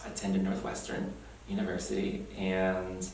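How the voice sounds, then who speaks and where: A young adult man talks through his past in a thoughtful, earnest way and often breaks into light laughter. His voice sits a little low and reaches a distant mic in a very echoey room with faint noise behind it. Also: completely flat delivery, a little slow, a little mellow. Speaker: oral history interviewee. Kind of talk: reflective personal oral history.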